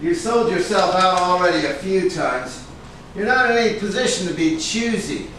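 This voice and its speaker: An actor playing a brash, excitable and scolding film agent in a theatrical staged reading, with an emphatic, hectoring delivery. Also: male voice, high voice, moderate pace. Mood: scolding, pushy. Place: indoor room at a live staged reading. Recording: echoey room, distant mic, background hiss.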